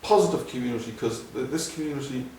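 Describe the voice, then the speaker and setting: A middle-aged man with a Dublin Irish accent, answering interview questions in a halting way, with many restarts. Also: mid-range voice, a little mellow, slight background noise. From Ireland. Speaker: guest, doctor. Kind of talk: conversational interview. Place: museum room. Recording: echoey room, from a distance.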